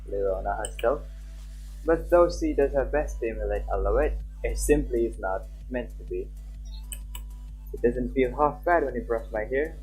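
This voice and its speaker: A man's voice: mid-range voice, husky, poor audio. A man livestreaming a game, chatting casually and reading visual novel text aloud. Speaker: live streamer.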